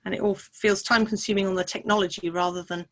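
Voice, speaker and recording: Woman webinar host, middle pitch, relaxed and wry, casual asides. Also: fast. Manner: wry, informal, self-deprecating. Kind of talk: online teaching chat. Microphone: video call.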